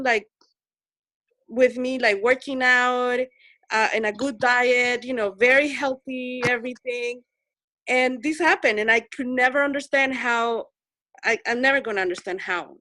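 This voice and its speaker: Woman in her forties, from El Salvador, earnest, repeats herself, bright tone, dry sound. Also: fairly high pitch, dry recording. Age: 40s. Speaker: Zoom meeting participant. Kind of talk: personal story in group video chat. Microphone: video call.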